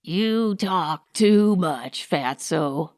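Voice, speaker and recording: A woman's voice: fairly low pitch, animated, drawn-out words, darkish tone, dry recording, clean studio sound. A woman voicing a tall, cold male gang leader in an audiobook, curt, menacing and commanding. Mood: angry. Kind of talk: audiobook character voice.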